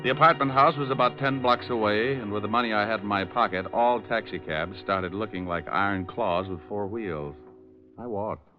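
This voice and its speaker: An actor playing a wry, deadpan, tough private detective in an old-time radio noir drama. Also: male voice, on the low side, normal speed, close to an everyday voice. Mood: wry narrating. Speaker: lead actor and narrator of a detective radio show. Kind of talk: old-time radio noir acting with first-person narration. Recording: dull old radio recording, music behind.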